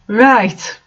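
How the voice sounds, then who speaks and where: A person casually commenting on fan fiction they read aloud, exasperated, incredulous and swearing freely. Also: a bit bright. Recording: dull lo-fi microphone sound.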